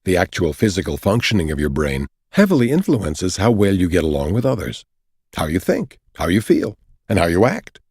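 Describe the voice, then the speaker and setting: A man reads nonfiction as an audiobook narrator, with a slightly low, husky voice. His delivery is deliberate and explanatory, moving widely up and down in pitch as he lays out each point. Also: big pitch swings, darkish tone, no echo, clean studio sound. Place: dry recording studio.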